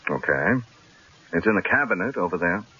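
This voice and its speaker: An actor playing a cool, shrewd newspaperman in a radio drama, speaking in a measured, dryly sardonic way. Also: deep voice, lively intonation, bright, forceful tone, slightly rough, heavily acted. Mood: guarded. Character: cool, shrewd newspaper columnist, stalling his would-be killer. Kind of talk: 1970s radio drama acting. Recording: dull, lo-fi old radio broadcast.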